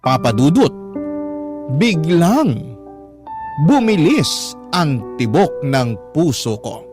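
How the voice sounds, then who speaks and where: A man's voice: on the high side, big pitch swings, takes it slowly. Man narrating over prominent music. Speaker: radio drama narrator reading a listener's story. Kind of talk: scripted first-person storytelling narration. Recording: music playing behind. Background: background music.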